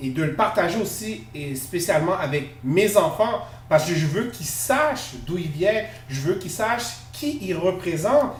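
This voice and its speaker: A man's voice: fairly high pitch, recorded from a distance. A man from Quebec with a Quebec French accent, co-hosting a podcast in casual discussion, earnest and emphatic, repeating words as he searches for them. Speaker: podcast co-host. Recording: echoey room, off-mic, faint noise.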